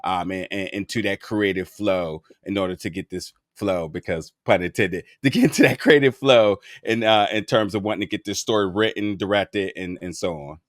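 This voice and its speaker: A man's voice: deep voice, moderate pace, hardly any pauses, dry recording, studio quality. A man interviewing a filmmaker, asking long rambling questions full of stumbling repeats.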